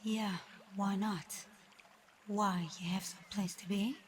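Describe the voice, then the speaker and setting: A woman plays a proud dragon girl in human form, guarded and easily flustered. She speaks formally and slowly, in a narrow, even line that can catch into shy stammers. It is an intimate ASMR roleplay, with clear ambient noise behind the voice. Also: mid-range voice, close to an everyday voice. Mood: softened, earnest. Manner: halting, stammering when flustered, otherwise measured and formal. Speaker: audio roleplay performer.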